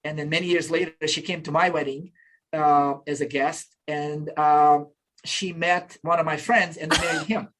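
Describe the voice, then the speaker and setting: A man in his fifties from Bosnia, telling his story as an interview guest in a relaxed, reflective way with frequent restarts. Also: on the high side, normal speed, poor audio. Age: 50s. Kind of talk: casual conversational storytelling.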